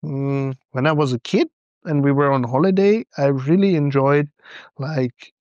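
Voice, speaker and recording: A young man with a German accent answers podcast questions in a relaxed, hesitant way. His voice sits a little higher than most men's, and his laughter comes easily. The sound is dry and close to the mic. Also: unhurried, dry recording, dull audio. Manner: thoughtful, hesitant, laughs often. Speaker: podcast co-host. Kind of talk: casual chat.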